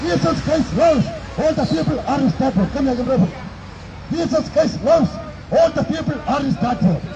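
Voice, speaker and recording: A man's voice: very high-pitched, animated, moderate pace, poor audio. A middle-aged man preaching an emphatic open-air street sermon into a handheld microphone outdoors in a city plaza, repeating his declarations. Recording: crowd and city noise outdoors.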